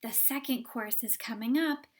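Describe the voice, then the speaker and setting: A woman voicing a spoiled, stubborn gum-chewing young girl in a storybook read-aloud, loud and demanding. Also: a little high, normal speed, lightly acted. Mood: delighted.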